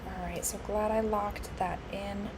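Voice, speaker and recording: A woman live-streaming her futures trading, congested and hoarse from allergies, thinking aloud with lots of fillers and restarts. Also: mid-range voice, fairly even intonation, measured pace, background noise. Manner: musing, with frequent fillers and restarts.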